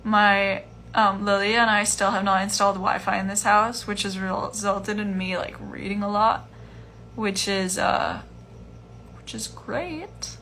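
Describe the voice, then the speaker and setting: A young woman in her twenties answering fan questions in a casual livestream from home, relaxed and chatty with lots of fillers. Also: medium pitch, measured pace, slight background noise. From Iceland. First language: Chinese. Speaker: musician hosting a livestream Q&A. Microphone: phone livestream.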